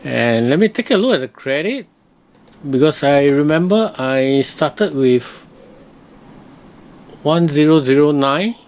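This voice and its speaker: Male tutorial host, fairly high-pitched, casual, hesitant step-by-step commentary. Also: expressive, measured pace, pauses often, darkish tone. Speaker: software reviewer, host.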